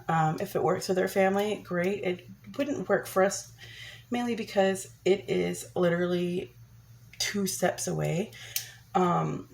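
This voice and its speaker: A woman's voice: lowish voice, a little mellow, slight background noise. Chatty woman with a lingering cold. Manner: chatty, many ums and restarts. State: congested from a cold. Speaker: vlogger. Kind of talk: casual personal update.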